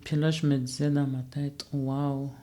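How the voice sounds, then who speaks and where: Une femme de langue maternelle atikamekw, à l'québécois, invitée d'une entrevue, qui parle de façon réfléchie avec beaucoup d'hésitations. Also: female voice, sits low, level tone, a little slow, warm tone. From Quebec. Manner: reflective, frequent fillers and restarts. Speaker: guest, student life facilitator. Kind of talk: conversational podcast interview.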